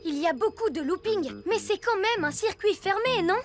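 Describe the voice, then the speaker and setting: A woman's voice: extremely high pitch, lively intonation, normal speed, music in the background. A woman voicing a bold six-year-old boy superhero in a cartoon dub, confident and reassuring. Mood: thoughtful. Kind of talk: animated cartoon dubbing.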